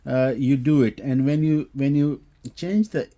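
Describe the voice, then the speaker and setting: A man giving an unscripted technical product demonstration, explaining haltingly with frequent hesitations and repeats. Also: medium pitch, mellow, highs cut off. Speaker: host demonstrating audio equipment.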